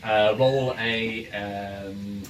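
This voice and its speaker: A man with a lowish voice narrates a tabletop game as its game master. His delivery is hesitant and stop-start, as he improvises the scene. He sits away from the microphone, with clear noise around him. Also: fairly even intonation, drawn-out words, reverberant. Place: in-person game room with ambient sound. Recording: noisy room, distant microphone.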